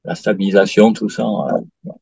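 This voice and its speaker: A man's voice: deep voice, steady tone, creaky, darkish tone, slightly rough, no echo. Hesitant man near sixty, muffled sound. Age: 50s. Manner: halting, many fillers and restarts. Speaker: client giving a testimonial. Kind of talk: hesitant interview answers. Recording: dull lo-fi dry sound.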